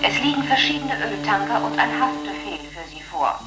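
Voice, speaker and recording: A woman playing a deadpan dockyard announcer in a satirical radio play, formal and matter-of-fact. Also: medium pitch, fairly quick, lightly acted. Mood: official, deadpan. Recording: echoing public-address announcement over music.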